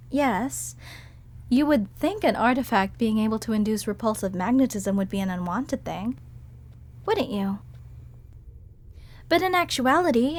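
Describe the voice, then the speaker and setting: A woman voicing a sweet, bookish female museum curator who earnestly explains legends in an audio roleplay drama. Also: sits high. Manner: earnest, lecturing at length on legends and history.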